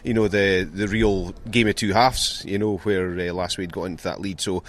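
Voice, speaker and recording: Low-voiced man in a pre-match interview. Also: steady tone, normal speed. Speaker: rugby club coach being interviewed. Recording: faint outdoor background noise.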